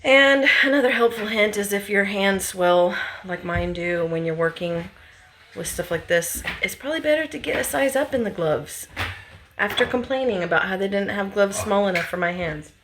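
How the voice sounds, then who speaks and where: A woman's voice: medium pitch, animated, normal speed, a bit bright. A woman casually narrating renovation work as a vlogger, informal and thinking aloud. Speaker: vlogger documenting a bus renovation. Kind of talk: casual vlog narration while working. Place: inside a bus being converted. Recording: noisy on-site recording with radio playing. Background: radio and work noise.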